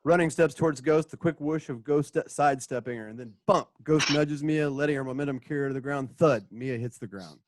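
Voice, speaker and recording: A man's voice: mid-range voice, brisk, few pauses. A man narrating a radio drama as its director, reading out stage directions and voicing sound effects.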